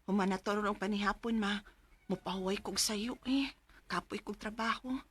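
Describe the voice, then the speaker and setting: A woman's voice: mid-range voice, fairly even intonation, normal speed, fairly bright tone, husky. An actress playing a frightened, trauma-shaken working mother in an emotional, plaintive radio drama style. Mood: tired. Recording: music bed under the voice.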